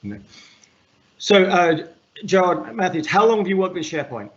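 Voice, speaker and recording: A man hosts a live online meetup in a loud, projecting voice that sits a little high. He rambles and jokes, full of fillers and restarts. The sound is dull and lo-fi. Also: big pitch swings, normal speed. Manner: rambling, filler-heavy, joking. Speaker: meetup host. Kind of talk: casual livestream meetup hosting.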